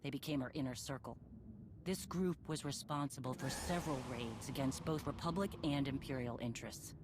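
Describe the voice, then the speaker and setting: A woman's voice: extremely low pitch, brisk. An actress playing a stern, determined female warrior leader and former bounty hunter in a game cutscene, speaking in terse commands. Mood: grave, resolute. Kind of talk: scripted game cutscene acting. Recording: clear background noise and ambience.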